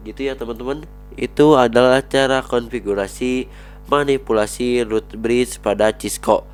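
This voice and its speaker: A man with a cold explaining network switch configuration in a screen-recorded tutorial, talking viewers through each command as he types. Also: mid-range voice. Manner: addresses viewers as friends, talks while typing commands. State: has a cold. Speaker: networking instructor.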